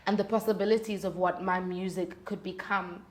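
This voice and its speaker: A woman's voice: on the low side, fairly even intonation, moderate pace. A woman, speaking as a musician guest in candid, conversational interview storytelling. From South Africa.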